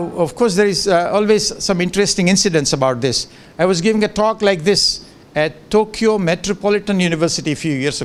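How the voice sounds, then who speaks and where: An older man whose first language is Gujarati gives a relaxed, joking guest lecture into a handheld microphone in a large lecture room, with many fillers and restarts. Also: a little high. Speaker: professor giving a guest lecture. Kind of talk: informal lecture with asides.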